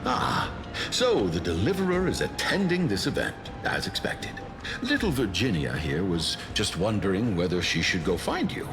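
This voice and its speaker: A teasing scholarly gentleman from an anime game. His fairly low voice swoops dramatically through courteous, theatrical phrases. Music is clearly heard behind him. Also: very animated, normal speed. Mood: amused.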